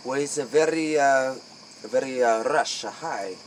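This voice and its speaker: A man playing a secretive vampire clan member in a comic interview, speaking halting, repetitive broken English. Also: mid-range voice. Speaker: interview guest in character. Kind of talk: improvised comic role-play interview. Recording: noisy, crickets and insects behind.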